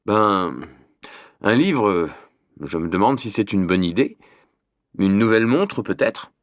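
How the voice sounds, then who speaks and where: Hesitant thirties husband played by an actor, very slow delivery, animated pitch, dry recording. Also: male voice, no echo. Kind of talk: scripted language-lesson dialogue.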